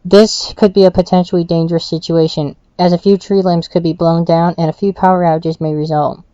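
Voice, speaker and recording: Woman, low mellow voice, weather news read, dry dull recording. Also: level tone, brisk, dry recording. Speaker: TV weather presenter. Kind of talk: scripted news weather bulletin. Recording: dull lo-fi sound.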